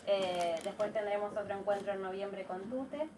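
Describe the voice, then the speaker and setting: A woman with an Argentine accent giving an informal, halting welcome as event presenter. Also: mid-range voice. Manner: hesitant, halting. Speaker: event presenter from hospital residency. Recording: slightly distant mic, noisy room.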